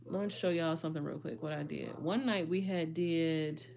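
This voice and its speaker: Woman, slightly hoarse, lowish pitch, casual livestream chat, rambling. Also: normal speed, a little mellow. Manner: chatty, rambling, addresses viewers as y'all. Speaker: vlogger.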